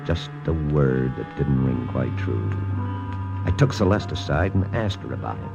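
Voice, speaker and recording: An actor playing a wry, hardboiled American army lieutenant working undercover in an old radio drama. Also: male voice, a bass voice, lively intonation, normal speed, gravelly, muffled recording, music in the background, heavily acted. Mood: wry, brooding. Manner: dry, hardboiled, wry narration. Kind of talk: 1950s radio drama acting, first-person narration.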